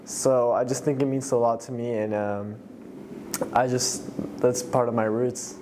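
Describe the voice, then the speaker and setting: A man's voice: medium pitch, steady tone, a little slow, noisy background. A teenage boy from Mexico, talking casually and hesitantly as an interview guest, with many fillers. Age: teens. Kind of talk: casual talk.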